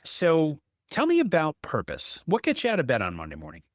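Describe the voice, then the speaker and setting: A man's voice: on the high side, wildly swooping pitch, brisk, dry recording, clean studio sound. A man hosting a conversational business interview, talking in an emphatic, anecdotal way and often checking in with "right?". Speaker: podcast host, apartment investor.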